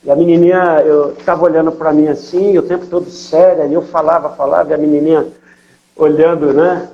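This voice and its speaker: An older man with a São Paulo accent tells his missionary stories in a relaxed, conversational way, dropping in filler words and checking in with his listener. His voice sits a little high for a man, and the recording sounds dull and lo-fi. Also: fairly quick, gravelly. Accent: São Paulo Portuguese. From São Paulo, Brazil. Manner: storytelling, many fillers and tag questions. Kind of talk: conversational testimony interview.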